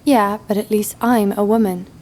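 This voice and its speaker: A woman's voice: mid-range voice, steady tone, normal speed, a little mellow, a little hiss or hum, lightly acted. A young woman playing a sarcastic, foul-mouthed bounty hunter who narrates her own story in an audio drama. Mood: sarcastic, teasing. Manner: dry, sardonic. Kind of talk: first-person narration and dialogue in audio drama. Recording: music behind the voice.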